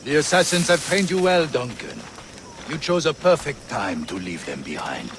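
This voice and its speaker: Outdoor noise and birds surround a lively French arms smuggler. He is suave and genteel in a theatrical video game manner, swinging his pitch as he savors each phrase with admiration. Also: moderate pace. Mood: admiring. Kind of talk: video game cutscene acting.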